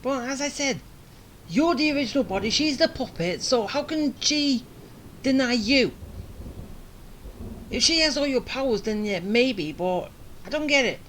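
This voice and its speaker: A woman's voice: high-pitched, expressive, unhurried, pauses often, fairly bright tone, a little hiss or hum, rough recording. A woman doing casual gameplay commentary, reacting and muttering to the game as she plays. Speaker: gaming video host, player. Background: game music.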